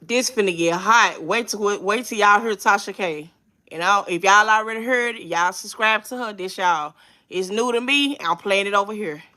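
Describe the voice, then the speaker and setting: Woman, bright forceful voice, channel host, casual livestream chat, profane, emphatic, streetwise. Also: medium pitch, moderate pace.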